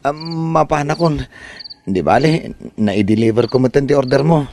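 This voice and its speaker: A man with an Ilocano accent playing a lovesick, dejected young delivery rider in a comedy drama, halting and plaintive. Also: medium pitch, expressive, creaky, a little mellow, slight background noise. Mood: polite, flustered. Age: young adult.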